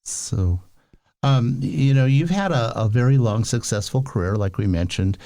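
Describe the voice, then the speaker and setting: A man with a low, gravelly voice co-hosts a podcast interview and asks easygoing, rambling questions. His tone is dark, and the sound is dry. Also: expressive, normal speed, no echo. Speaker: podcast co-host.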